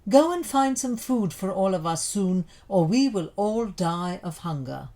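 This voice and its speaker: A noble lion who leads the animals in a storybook fable, played by a woman. He speaks formally and earnestly, like a hospitable ruler, with lively, sweeping intonation. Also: mid-range voice, a little slow. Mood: commanding.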